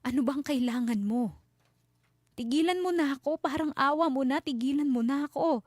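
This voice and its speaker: Give the part to a woman playing a terrified young granddaughter in an audio horror drama. The voice is raised and urgent, pleading tearfully, with a soft, dark tone and a dry, close sound. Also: sits high, moderate pace, no echo, pitched above the performer's own voice, natural voice.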